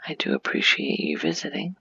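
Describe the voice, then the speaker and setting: A woman giving a soft-spoken ASMR game tour, hushed and often slipping into a whisper, breathy and creaky, rambling unhurriedly as she thinks aloud. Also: very deep voice, wildly swooping pitch, brisk, no echo, highs cut off. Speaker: gaming ASMR host.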